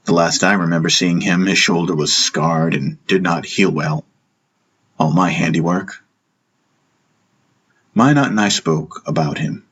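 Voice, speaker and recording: A man narrating a first-person fantasy audiobook in a measured, dramatic storytelling style. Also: low-pitched, a bit bright, husky.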